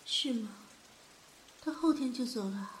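A woman playing a guilt-ridden, fragile young woman in an audio drama, halting and sorrowful. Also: fairly high pitch, slight background noise, close to an everyday voice, rough recording. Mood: sad, pleading. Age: young adult. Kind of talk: Chinese audio drama acting.

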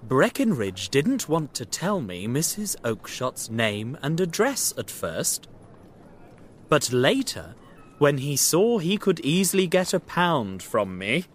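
A man voicing a confident, shrewd, courteous detective in an acted storybook, speaking in an assured and deliberate way. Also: medium pitch, slight background noise. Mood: intrigued.

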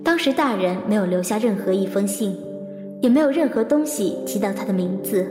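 An actress playing a composed, probing woman investigator in an audio drama, measured and introspective as she recalls a fateful case. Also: medium pitch, animated, close to an everyday voice. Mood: reflective. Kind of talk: audio drama narration and dialogue. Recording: echoey long reverb, music behind.

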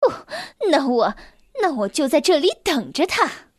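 A woman's voice: on the high side, big pitch swings, measured pace, husky, no echo, clean studio sound, exaggerated voice. A woman voicing a protective mother sheep who is indignant and demanding.

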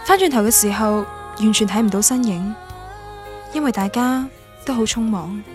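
An actress as a young female student with a fairly high voice and Guangdong Cantonese accent, narrating a radio drama. She is wistful and literary, reflecting on first love over a music bed. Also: expressive, normal speed, lightly acted.

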